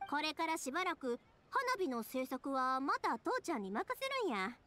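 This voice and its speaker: A woman voicing a bubbly, warm-hearted young craftswoman with a Kansai accent in an anime game. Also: high voice, big pitch swings, moderate pace, slight background noise, heavily acted. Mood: cheerful, eager. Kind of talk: Japanese anime game voice acting.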